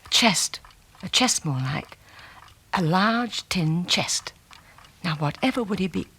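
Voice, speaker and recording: A husky female British voice swoops widely in pitch as a nagging, nosy wife. She is sharp-tongued, insistent and bossy, in 1970s radio drama style. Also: expressive, slow pace, heavily acted. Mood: nosy, excited.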